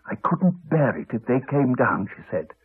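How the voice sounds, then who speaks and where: A haunted man in an eighties radio play speaks through a narrow, phone-like recording. His voice is very husky, and his pitch moves a great deal as he haltingly confides, trailing off into pauses. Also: sing-song, full character voice. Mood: haunted, tense. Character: Alex, haunted man haltingly recounting a horror. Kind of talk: 1980s radio drama acting.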